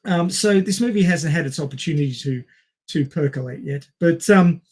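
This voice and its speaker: A man with a fairly high-pitched voice co-hosts a casual movie discussion. He explains at length and wanders into tangents, often pausing to find the next word. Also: a little mellow, husky. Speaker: podcast co-host.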